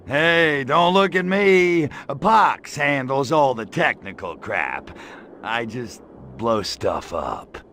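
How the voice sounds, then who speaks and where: Smart-ass horny alien invader in a video game, sarcastic, slow drawn-out delivery, real animated. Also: male voice, mid-range voice, a little hiss or hum, full character voice. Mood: flippant. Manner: sarcastic, cocky, slangy. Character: brash, lecherous, wisecracking male alien invader. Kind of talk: video game cutscene acting.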